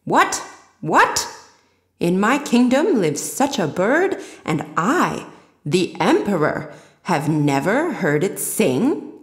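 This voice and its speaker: A woman's voice: mid-range voice, very animated, slow, a bit bright, echoey room. A woman voicing an imperious, exclamatory emperor in a fairy tale, astonished and indignant.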